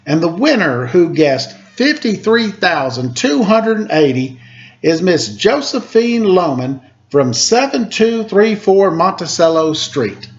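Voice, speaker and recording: Man putting on a jovial, high-pitched contest announcer. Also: big pitch swings, highs cut off, heavily acted. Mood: proud announcing. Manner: projected, drawn-out showman announcing. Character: jovial male furniture store owner announcing a contest winner.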